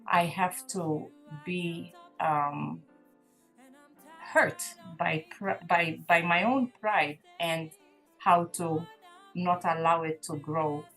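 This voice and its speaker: Woman, gospel singer as guest, lowish voice, earnest and reflective, soft music underneath. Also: steady tone, extremely slow. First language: Maltese. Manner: earnest, reflective testimony with restarts. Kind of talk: casual interview chat.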